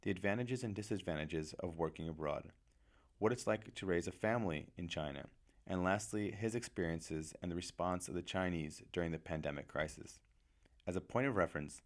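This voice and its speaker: A man delivering a scripted, measured podcast introduction as host. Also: deep voice. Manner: measured, read-aloud delivery.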